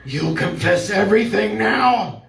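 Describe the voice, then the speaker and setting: Vengeful fake ghost performed live, slow, shouting accusations, roomy stage. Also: mid-range voice, animated, gravelly, soft background music. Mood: accusing. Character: vengeful man posing as his dead brother. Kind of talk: live radio drama acting. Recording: roomy live room sound.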